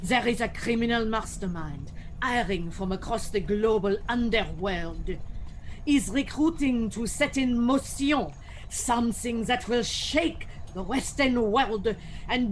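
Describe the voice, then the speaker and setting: A woman playing a flamboyant French gentleman thief with a put-on, exaggerated French accent in a comic radio drama, pompous and melodramatic. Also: a little high, slight background noise. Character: flamboyant male French gentleman burglar, theatrical and grandiose.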